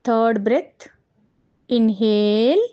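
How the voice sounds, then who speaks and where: Woman, Telugu accent, very slow delivery, slightly high voice, meditation guide, calm, measured pauses. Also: clean tone, dull audio. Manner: calm, measured, pausing between short phrases.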